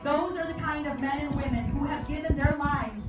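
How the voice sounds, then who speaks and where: Woman, formal commemorative oratory, solemn and measured, dull muffled sound, far from the mic. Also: sits high. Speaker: guest speaker at a memorial service. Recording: echoey, distant, dull and very noisy.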